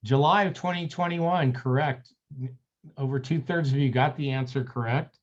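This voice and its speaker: A man hosting a webinar on a video call. He reads out a long guest introduction in a friendly, slightly halting way. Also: mid-range voice, lo-fi sound. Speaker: webinar moderator.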